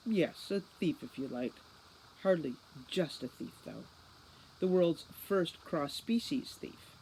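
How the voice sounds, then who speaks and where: A man acts out a clever young boy villain who is cold and smug. His phrasing is formal and condescending, his pitch on the high side, and there is slight noise in this audiobook reading. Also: lively intonation, takes it slowly, a little mellow, rough recording. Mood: sardonic amusement.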